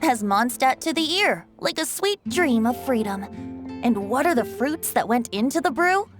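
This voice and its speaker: A woman's voice: sits high, expressive, normal speed. A woman voicing a playful, theatrical young male bard in a video game, with a lilting, poetic delivery. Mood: lyrical, dreamy. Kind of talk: video game character acting with recited verse. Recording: music behind the voice.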